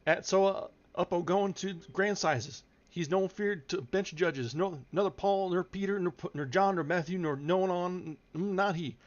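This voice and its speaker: A man voicing a gruff, sanctimonious old manservant, in halting, thick dialect. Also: high-pitched, dull audio, voice pushed up, natural voice. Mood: grumbling relish.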